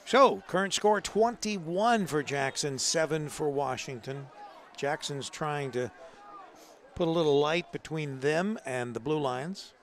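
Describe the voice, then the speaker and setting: A man giving relaxed, chatty color commentary at a live high school football game. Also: measured pace, slight background noise. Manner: easygoing, chatty. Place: outdoor stadium press box.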